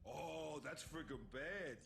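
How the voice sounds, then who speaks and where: A man's voice: a little high, takes it slowly, gravelly, fairly bright tone, slight background noise, exaggerated voice. An actor playing a jeering mob henchman who gossips mockingly. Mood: amused, jeering.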